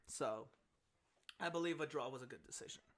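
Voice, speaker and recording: High-voiced man swearing through casual chat. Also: brisk, a bit bright. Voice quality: high-pitched voice. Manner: profane, rambling, emphatic. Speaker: podcast co-host.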